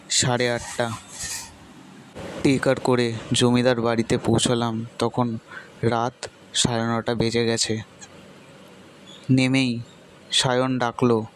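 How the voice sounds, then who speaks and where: A man narrating a ghost story in steady storytelling manner. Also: medium pitch, slight background noise, rough recording.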